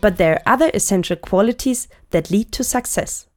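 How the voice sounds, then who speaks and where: A woman reads documentary narration at a mid pitch, even and descriptive. Light music runs faintly beneath her words. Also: a little fast. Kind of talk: scripted narration.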